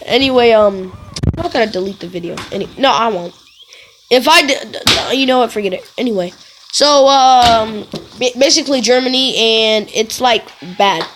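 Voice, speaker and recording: A woman telling casual stories to viewers in an animated, rambling way, often wandering off and correcting herself. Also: on the high side, normal speed, noisy background. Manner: animated, digressive, self-correcting. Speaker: video creator telling stories.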